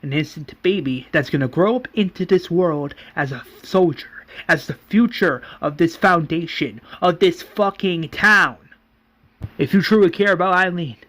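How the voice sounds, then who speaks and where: A man playing a ruthless, defiant leader of a survivor town, forceful, profane and emphatic. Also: fairly high pitch, normal speed. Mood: angry, defiant.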